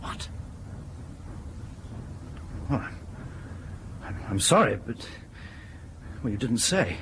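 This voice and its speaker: An actor with a British accent playing an indignant, stubborn airline captain in a radio drama, blunt and exasperated in manner. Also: male voice, medium pitch, big pitch swings, extremely slow, creaky, a bit bright, a little hiss or hum, full character voice. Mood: taken aback.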